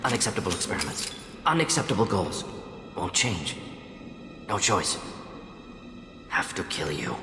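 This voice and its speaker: A man's voice: deep voice, sing-song, measured pace, long pauses. A man playing an aging alien scientist and professor in a video game, speaking in clipped, telegraphic phrases that drop small words. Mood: cold resolve. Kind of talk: video game cutscene acting. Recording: echoey hall reverb under background music.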